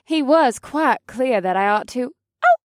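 A woman's voice: sits high, lively intonation, measured pace, no echo, clean studio sound. A woman playing a flirtatious, taunting supervillain in an audiobook, with a teasing, coy manner. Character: flirtatious, taunting female supervillain with mind control. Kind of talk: dramatized audiobook character acting.

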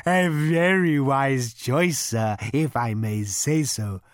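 Male reader doing a high, drawn-out, fawning cow; genteel and servile, audiobook character. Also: dry recording, studio quality, close to an everyday voice. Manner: slow, genteel, ingratiating waiter-like delivery. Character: polite, eager talking cow offering itself as dinner.

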